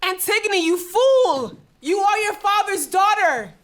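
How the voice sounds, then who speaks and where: An actress playing a cautious, anguished young princess who pleads emotionally in a Greek tragedy reading. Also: female voice, extremely high pitch, animated, measured pace, fairly bright tone, heavily acted. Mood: fearful, exasperated.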